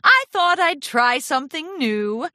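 A woman's voice: high-pitched, animated, unhurried, sharp tone, no echo, studio quality, pitched above the performer's own voice, heavily acted. A woman voicing a glamorous, self-assured female pony fashion designer in a storybook, with a grand, affected, dramatic manner. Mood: showy, proud.

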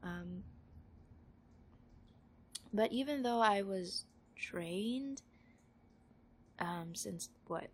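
A woman's voice: medium pitch, expressive, drawn-out words, long pauses, breathy edge. A woman from the Philippines with a Filipino accent, talking in a playful, informal, self-aware way as she chats and reads aloud. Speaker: amateur audio recorder doing an accent challenge. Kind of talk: casual chat, reading questions and word lists aloud.

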